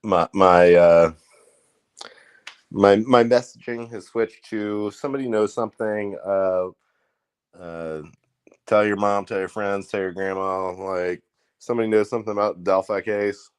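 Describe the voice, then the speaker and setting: A man with an Indiana accent hosting a casual livestream, talking in a rambling, profane, excitable way. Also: fairly low pitch, measured pace, smooth, dry recording. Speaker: livestream host.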